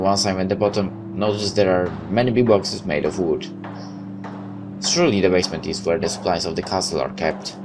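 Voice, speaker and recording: A very low-pitched male gamer reads story text aloud in a dramatic let's play style, tripping over the odd word. He drops in wry, joking comments of his own. Clear music plays behind him. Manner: wry, dramatic reading with stumbles. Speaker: gamer reading a visual novel aloud. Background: game music with guitar.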